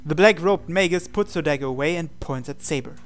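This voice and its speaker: A male game host reads story text aloud. His voice is on the high side and very clean. He narrates in a measured, dramatic storytelling style.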